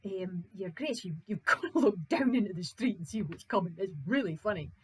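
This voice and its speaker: A woman voicing a flustered male castle servant in a told folk tale who talks in a hurried, stammering way. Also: mid-range voice, lively intonation, breathy edge. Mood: amused.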